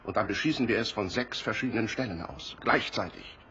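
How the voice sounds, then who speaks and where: Commanding castaway leader, low voice, measured delivery, radio play, dull noisy recording. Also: male voice, sharp tone. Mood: determined. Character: resolute male leader of island castaways planning defence. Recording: dull recording with background noise.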